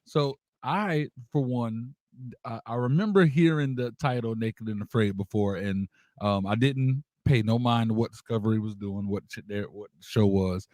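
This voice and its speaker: A man's voice: mid-range voice, moderate pace, a little mellow, no echo. A man hosting a radio interview in a casual, slangy, exclamatory hype style. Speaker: radio show host.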